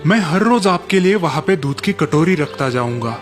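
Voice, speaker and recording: Honest, helpful cartoon father, earnest villager, male actor, soft music bed. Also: a little high, lively intonation, normal speed, close to an everyday voice. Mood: respectful. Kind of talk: animated moral tale dialogue.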